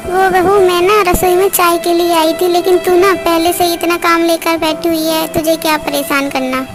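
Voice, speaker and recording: A considerate older mother-in-law , played by a woman, with a very high-pitched voice. Her manner is mild and fretful, as suits a cartoon family drama. Music runs clearly behind her. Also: flat delivery, normal speed. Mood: kindly.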